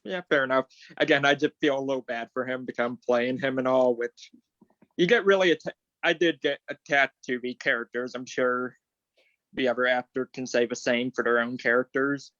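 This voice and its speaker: A man's voice: medium pitch, fairly even intonation, moderate pace, fairly bright tone, no echo. A man who acts on stage, chatting casually and informally on a video call. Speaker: actor in the play. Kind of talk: casual chat. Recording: slightly dull video-call audio.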